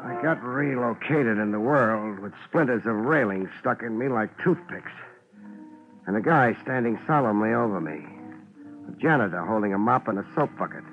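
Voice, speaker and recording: An actor playing a wisecracking, world-weary private investigator, narrating and trading lines in a dry, laid-back deadpan in a hard-boiled radio drama. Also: male voice, fairly low pitch, moderate pace, soft background music. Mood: wry, laid-back. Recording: muffled, noisy 1950s radio broadcast.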